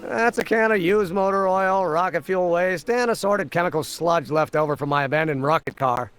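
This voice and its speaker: A man's voice: high voice, moderate pace, fairly bright tone, smooth. A man voicing an eager, earnest young amateur scientist in a video game, explaining things precisely and with enthusiasm. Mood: chatty, enthusiastic. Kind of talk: video game character acting.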